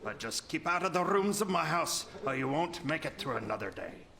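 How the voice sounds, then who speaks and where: A man's voice: a little high, expressive, moderate pace, bright, forceful tone. A man playing a menacing, commanding troll master in a fairy tale radio play. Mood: bossy, suspicious. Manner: commanding, threatening. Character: menacing male troll master, gruff and bossy.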